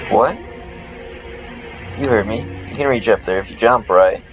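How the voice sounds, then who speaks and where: Guy with a lowish voice reading out game lines, kinda muffled audio, music playing behind. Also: unhurried. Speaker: let's player. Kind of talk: reading game dialogue aloud.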